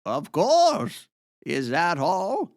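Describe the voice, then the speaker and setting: A man playing a grave, theatrical old wizard in a fantasy drama, speaking in deliberate pronouncements. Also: high voice, wildly swooping pitch, no echo, clean studio sound, exaggerated voice. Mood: gentle, solemn. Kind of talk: fantasy audio drama acting.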